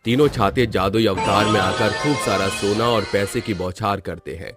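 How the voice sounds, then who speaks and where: A man narrates a scripted moral tale as the storyteller. His voice sits fairly low. Music plays clearly behind him throughout. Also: moderate pace.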